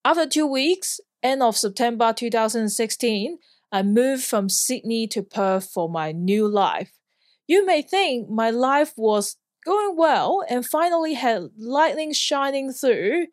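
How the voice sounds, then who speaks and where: A woman's voice: a little high, expressive, slow pace, no echo, studio quality. Hong Kong-born woman telling her story. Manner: earnest, plainly worded, occasional light laugh. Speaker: podcast host, flying instructor. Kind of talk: personal storytelling monologue.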